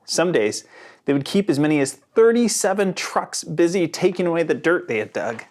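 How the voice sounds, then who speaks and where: A man reading a children's picture book aloud in an expressive storytelling manner. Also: a little high, normal speed. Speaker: storybook reader.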